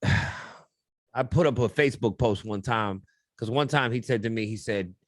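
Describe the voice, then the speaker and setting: Guy hosting a podcast, slangy, animated, dry close mic. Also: fairly low pitch, unhurried, no room sound. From born in New York, raised in Pittsburgh. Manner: animated storytelling, slangy, acts out quotes loudly. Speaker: podcast host, radio and TV personality. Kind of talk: casual podcast chat.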